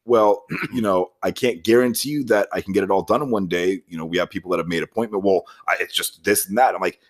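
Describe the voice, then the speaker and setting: An American man with a low voice talks quickly on a casual podcast. He sounds exasperated as he spins anecdotes and plays out conversations. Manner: exasperated, acts out customer exchanges. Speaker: podcast co-host; auto shop worker.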